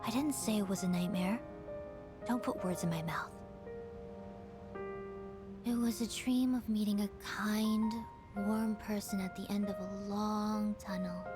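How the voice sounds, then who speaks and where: Woman acting an aloof, slow-spoken teenage girl. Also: medium pitch, fairly even intonation, close to an everyday voice. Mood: irritated. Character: teenage girl, aloof, prickly but dreamy. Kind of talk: dramatic video game voice acting. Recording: music over the voice.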